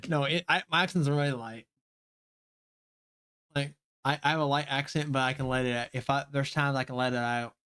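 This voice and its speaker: A man's voice: mid-range voice, fairly even intonation, moderate pace, pauses often, a bit bright, clear, resonant tone. A man with a light Southern accent, chatting rambly on a live game stream. He restarts his sentences, laughs often and swears casually. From Southern United States. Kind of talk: casual live-stream chat, reading game dialogue aloud.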